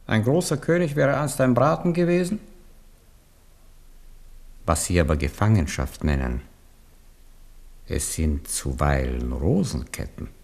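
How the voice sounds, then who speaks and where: A cautious royal counsellor in a 1970s radio drama. He speaks in a somewhat low voice with measured, warning insinuation. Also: very animated, creaky, a little mellow, heavily acted. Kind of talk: 1970s radio play acting.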